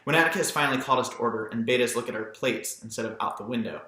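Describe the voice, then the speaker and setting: Man, audiobook narration, scripted literary reading, medium pitch, bright tone, echoey recording. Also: racing. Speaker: audiobook reader.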